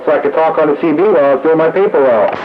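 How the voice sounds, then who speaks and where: Clear static surrounds an older man with a slightly high voice. He talks casually over a dull, lo-fi radio link. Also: rapid-fire. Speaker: radio roundup participant.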